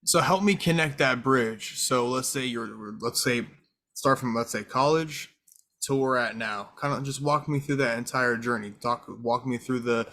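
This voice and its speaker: A man's voice: mid-range voice, moderate pace, bright, forceful tone. A man hosting a casual interview, prompting his guest in loose, informal phrases. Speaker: podcast host, interviewer.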